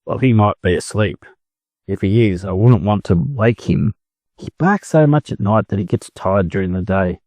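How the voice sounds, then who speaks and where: Male reader as cocky storybook farm chicken, Australian, curt and bragging, mellow tone, animated pitch. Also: moderate pace, no echo. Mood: hesitant bluffing. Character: boastful, snappish young male farm chicken.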